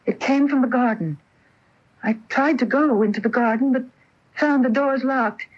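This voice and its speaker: Impressionable young woman: earnest, hesitant, easily flustered, higher-pitched. Radio mystery, dull broadcast sound. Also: moderate pace, darkish tone. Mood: bewildered. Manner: hesitant, breaking off phrases. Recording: dull off-air AM radio recording.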